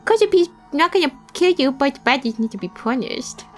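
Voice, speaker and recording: A woman's voice: high-pitched, animated, normal speed, soft background music, pitched above the performer's own voice. A woman voicing a sweet-sounding girl with a cheerful, cruel streak in a storybook reading. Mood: cheerfully menacing.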